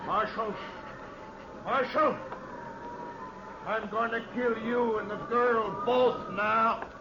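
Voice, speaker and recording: An actor playing a domineering, menacing outlaw with rough rural speech in an old-time radio western. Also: male voice, extremely high pitch, fairly even intonation, takes it slowly, heavily acted. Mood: enraged, alarmed. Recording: muffled, noisy vintage radio drama recording.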